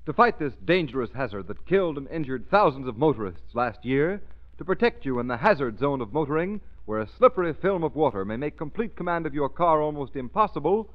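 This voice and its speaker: A male radio announcer delivering a vintage commercial. His fairly high voice swings widely and lands hard on key words. The recording is muffled. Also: very animated, fairly quick.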